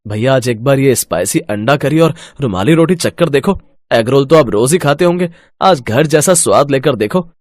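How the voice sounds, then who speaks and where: A man's voice: mid-range voice, expressive, normal speed, darkish tone, dry recording, studio quality, lightly acted. A man playing an earnest, plain-spoken young husband who sells food from a cart in a moral story. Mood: inviting.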